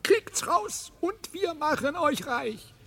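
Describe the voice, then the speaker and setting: An actor voicing an excitable, condescending alien mouse in a German radio comedy, with a theatrical, exasperated delivery. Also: high voice, takes it slowly, full character voice. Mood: excited, scheming.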